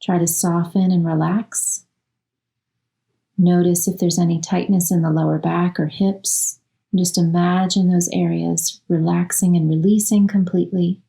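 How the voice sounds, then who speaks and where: Slow, mellow woman guiding meditation. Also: on the low side, level tone, vocal fry. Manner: soothing, unhurried instructing in short phrases with long pauses. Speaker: yoga nidra meditation instructor. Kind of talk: guided relaxation meditation.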